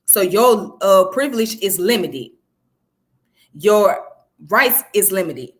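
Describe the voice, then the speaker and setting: A woman's voice: mid-range voice, expressive, slow pace. A woman from Georgia gives informal educational commentary, explaining conversationally and often checking in with the listener. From southern United States, Georgia. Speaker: history presenter.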